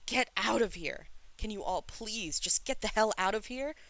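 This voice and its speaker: An unhinged young god in an audiobook, acted out by a narrator, desperate and raging. The voice jumps high above the reader's own and has a gravelly creak. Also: moderate pace, fairly bright tone, no room sound, close to an everyday voice. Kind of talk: dramatic audiobook character reading.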